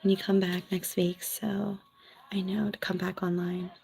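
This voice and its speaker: A woman's voice: mid-range voice, little pitch movement, creaky, darkish tone, soft background music. A woman from New Jersey with a Philadelphia accent, chatting frankly with viewers as a former nurse. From US East Coast, New Jersey. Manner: chatty, frank, quick asides with laughs. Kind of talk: casual livestream chat reading viewer comments.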